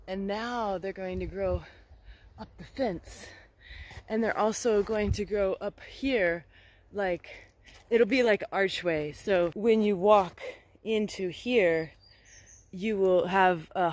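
A middle-aged woman with an American accent gives a casual, meandering garden tour, speaking hesitantly into a handheld phone outdoors. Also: medium pitch. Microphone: handheld phone at arm's length. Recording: clear outdoor background noise.

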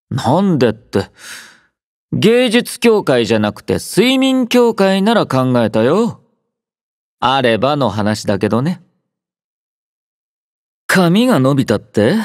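A man voicing an aloof, laid-back young soldier in an anime-style game, quietly caring, with dry, understated teasing. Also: mid-range voice, dry recording. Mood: calm, wry, then matter-of-fact. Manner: languid, dryly teasing, understated. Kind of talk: Japanese anime-style game character voice lines.

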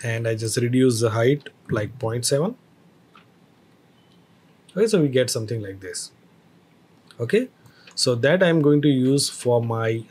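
A man teaching a screen-recorded software tutorial, explaining each step with hesitant phrasing and fillers. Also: medium pitch, breathy edge.

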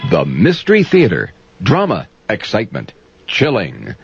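A man's voice: on the low side, wildly swooping pitch, measured pace, creaky, faint music behind. A man reading a dramatic promo as a radio announcer, taped off the air. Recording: dull lo-fi radio aircheck tape.

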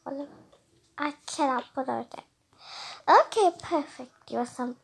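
Girl from indonesia talking through her doll game, giggly, high voice. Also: measured pace, creaky. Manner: chatty, giggly, self-talking. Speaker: game player. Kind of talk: casual gameplay narration.

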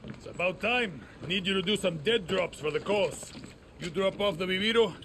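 An actor playing a wry older guerrilla with an offhand, sardonic way of talking. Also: male voice, high voice, moderate pace, sharp tone, slight background noise. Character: wry, grizzled older male guerrilla spymaster.

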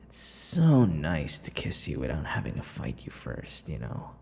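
A man playing a possessive, teasing vampire lover in an ASMR audio roleplay, smug and menacing, murmuring and slipping into breathy whispers. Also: huge pitch swings, darkish tone, long reverb tail, exaggerated voice. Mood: doting, possessive. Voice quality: low, gravelly. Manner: slow, breathy murmurs dropping into whispers, mocking endearments.

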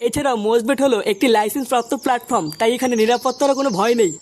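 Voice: medium pitch, fairly even intonation, very fast, a little hiss or hum. A channel creator reading a scripted promotional spot. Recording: music bed behind the voice.

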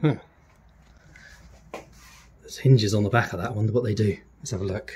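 A man's voice: lowish voice, normal speed, a little mellow, a little hiss or hum. A man gives a curious, chatty walkaround of an old van in a workshop, thinking aloud as he goes. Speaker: vlogger restoring a classic van. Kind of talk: casual walkaround commentary.

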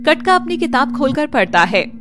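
A woman's voice: high-pitched, very animated, moderate pace, over music. A woman giving dramatic scripted narration of a fantasy tale for a cartoon. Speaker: storyteller.

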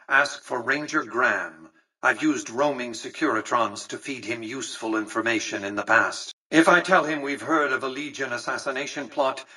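An actor playing a cold, calculating old tycoon in a video game, speaking with an American accent in a measured, pompous, condescending manner with precise diction. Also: male voice, medium pitch, bright, forceful tone, husky, far from the mic, rough recording. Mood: businesslike. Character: cold, imperious, calculating old male tycoon and casino magnate. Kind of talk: scripted video game dialogue.

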